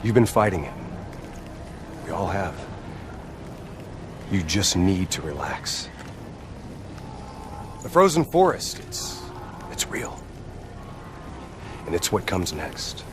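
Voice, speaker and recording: Volatile rogue soldier, wildly swinging pitch. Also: male voice, big pitch swings, takes it slowly, pauses often, music in the background, full character voice. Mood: fervent. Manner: intense, emphatic, confrontational. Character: obsessed, volatile soldier gone rogue, adult man, menacing. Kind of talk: dramatic video game cutscene acting.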